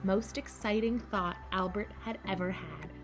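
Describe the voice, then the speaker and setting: A woman narrating a children's picture book in measured, deliberate phrases with dramatic pauses. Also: medium pitch, over music, low-quality recording. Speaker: storybook reader for children.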